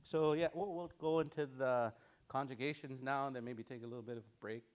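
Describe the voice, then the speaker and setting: A man teaching an online language class, drilling words over and over in a relaxed, informal way and chuckling often. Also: mid-range voice, brisk.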